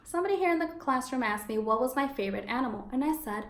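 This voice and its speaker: A woman teaching young children in a read-aloud lesson, encouraging and expressive, asking questions and confirming answers. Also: high voice, quick pace. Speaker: elementary school teacher. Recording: echoey room with background noise.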